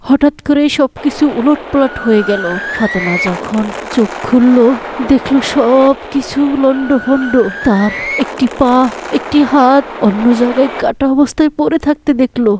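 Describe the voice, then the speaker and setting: A woman's voice: a little high, a little mellow, slight background noise. Woman narrating a somber cartoon. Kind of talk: storytelling narration.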